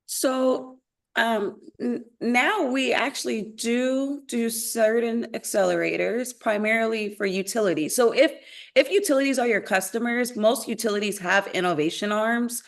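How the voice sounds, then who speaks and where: A woman's voice: a little high, a little slow. A woman founder on a webinar panel, giving practical advice and listing concrete examples over a video call. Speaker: climate tech founder on a panel.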